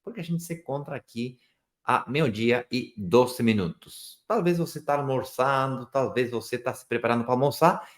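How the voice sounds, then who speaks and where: A man from Chile with a Chilean Spanish accent, whose first language is Spanish, hosting a live stream in a chatty, joking way and sometimes searching for words. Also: medium pitch. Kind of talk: casual live stream talk.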